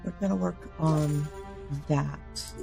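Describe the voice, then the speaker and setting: Man with a rough, sinusy voice. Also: fairly high pitch, steady tone, slow, mellow, soft background music. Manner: chatty, talks to the game and himself. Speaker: gaming channel host. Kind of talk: casual game commentary.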